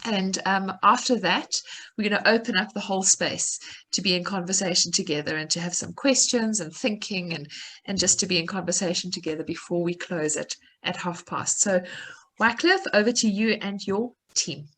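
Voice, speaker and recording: A woman's voice: mid-range voice, normal speed, a bit bright, husky, poor audio. Woman moderating an online dialogue. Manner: apologetic, encouraging. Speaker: session moderator. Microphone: video call.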